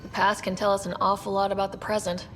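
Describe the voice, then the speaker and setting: A woman's voice: mid-range voice, little pitch movement, quick pace, slight background noise, natural voice. An actress playing a helpful, direct young female space explorer in a video game. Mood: helpful, curious.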